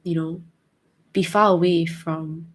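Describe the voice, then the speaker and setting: A woman's voice: lowish voice, steady tone, slow pace, rough recording. A young woman with a Singaporean accent, thoughtfully answering viewer questions in a casual chat, hesitant with many fillers. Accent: Singaporean English.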